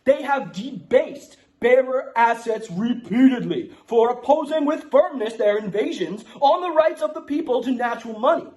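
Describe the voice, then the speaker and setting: A man's voice: very high voice, animated, normal speed, hardly any pauses, a little mellow. Man playing a brash Bronx guy, New York accent. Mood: mock-solemn, declaiming. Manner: brash, streetwise, swearing. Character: Jimmy Da'Bronx, brash foul-mouthed Bronx guy. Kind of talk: casual ranting and mock-solemn declaration reading.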